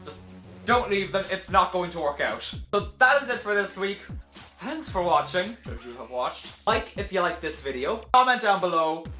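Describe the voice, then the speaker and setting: A young man telling a casual vlog story to camera in a dramatic, animated way, with sarcastic, self-deprecating asides. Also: high voice, normal speed, soft background music. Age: young adult. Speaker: video blogger telling a personal story. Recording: roomy echo.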